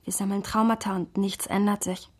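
An actress playing a sorrowful, reflective woman with a husky voice in a radio play. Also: mid-range voice, flat delivery, fairly quick, a little mellow, natural voice.

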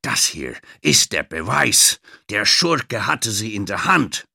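A man's voice: on the low side, big pitch swings, takes it slowly, vocal fry, heavily acted. A man voicing an angry, blustering male hotel guest who huffs and accuses indignantly in a children's audiobook. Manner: loud, indignant, huffing.

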